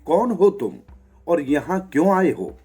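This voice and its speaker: A man's voice: fairly high pitch, sing-song, measured pace, slightly rough, pitched above the performer's own voice, full character voice. A man voicing an old, half-blind vulture guardian in a storybook tale, stern and formal, with music behind. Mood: stern, challenging. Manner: stern challenge softening into polite formality.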